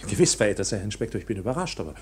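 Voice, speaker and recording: Agitated, lively-voiced accused clerk. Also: male voice, lowish voice, racing, slight background noise. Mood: nervous, self-justifying. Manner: hesitant, over-explaining, agitated. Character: bewildered, indignant bank clerk protesting his arrest. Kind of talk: German radio drama acting.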